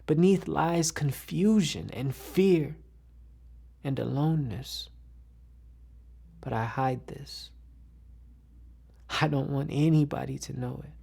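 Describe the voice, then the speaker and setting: A woman reading poetry aloud in a deliberate, expressive, dramatic way, with phrases that can fall hushed and breathy. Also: low-pitched. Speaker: poetry reader.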